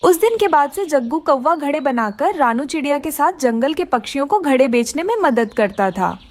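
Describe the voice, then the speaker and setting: A woman's voice: high voice, animated, normal speed, no echo. A woman narrating a scripted children's story.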